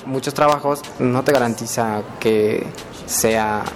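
A man's voice: mid-range voice, fairly even intonation, takes it slowly, noisy background. A young man with a Mexican accent, a student giving an interview answer. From Guanajuato, Mexico. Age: young adult.

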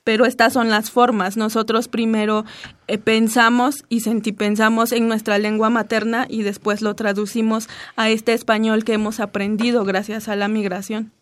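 A woman whose first language is Zapotec, speaking calmly and thoughtfully as a radio guest. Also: a little high, moderate pace, dry recording. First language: Isthmus Zapotec. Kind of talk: radio interview.

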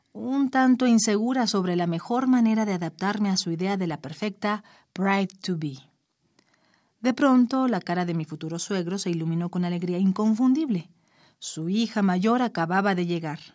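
A woman reading her own short story aloud in a measured, expressive storytelling manner. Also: medium pitch, no echo, clean studio sound.

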